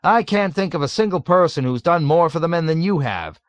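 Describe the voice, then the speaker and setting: A man voicing a jovial, nervous middle-aged colonel who gushes loudly and is eager to please. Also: fairly high pitch, animated, a little fast, no echo, pitched above the performer's own voice, lightly acted. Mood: gushing. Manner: loud, gushing, eager to please.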